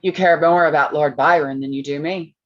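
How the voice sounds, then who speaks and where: A woman acts out a young gothic heroine troubled by nightmares. She speaks in halting, dramatic phrases for a staged reading, with a slightly dull recorded sound. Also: low-pitched, steady tone, natural voice. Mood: hurt.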